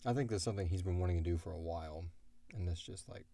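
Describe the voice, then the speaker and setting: Man, low voice, animated casual co-host, sweary, dry close sound. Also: fast, vocal fry, no room sound. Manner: animated, swearing, acts out imagined dialogue. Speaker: co-host of an audio drama Q&A show. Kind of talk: casual chat answering fan questions.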